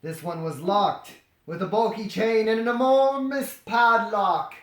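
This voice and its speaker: A man's voice: high-pitched, a little slow, a bit bright. A man reading a story aloud as comedy, breaking off into jokey, dramatized ad-libbed asides. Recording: roomy echo, faint noise and clicks.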